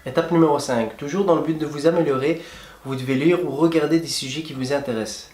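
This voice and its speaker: A man's voice: on the high side, fairly even intonation, fast, a little mellow. A young man giving friendly, plain-spoken advice straight to his viewers in a casual travel vlog. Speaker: travel vlogger. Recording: echoey room.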